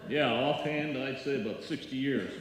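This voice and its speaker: A man's voice: mid-range voice, normal speed, crowd noise, natural voice. A man playing a sardonic musician neighbor with dry, hesitant wisecracks in live radio comedy style. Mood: mocking. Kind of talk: live old-time radio comedy acting. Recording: very echoey hall, hiss, room noise.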